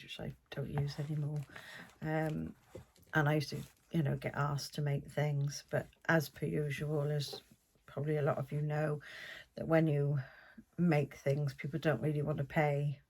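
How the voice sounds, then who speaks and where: A woman's voice: sits low, a little mellow, a little hiss or hum. An older woman with a South Welsh accent, hosting a podcast in a casual, meandering, homely chat. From South Wales. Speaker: podcast host.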